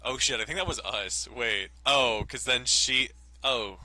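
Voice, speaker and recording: A man's voice: medium pitch, a little slow, pushed and bright, slight background noise, poor audio. A man hosting a let's play, chatting casually, joking and swearing, and reading visual novel text aloud. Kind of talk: casual gaming commentary with read-aloud narration.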